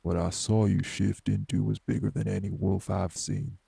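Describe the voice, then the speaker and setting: A man playing a morose older wraith in an audio drama, blunt, folksy and scolding, with a husky, gravelly voice. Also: level tone, moderate pace, no echo, exaggerated voice. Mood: gruff, challenging. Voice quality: very gravelly, husky, dark low voice. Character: morose older male wraith, rural homebody, stern but hospitable. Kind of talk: dramatic audio roleplay acting.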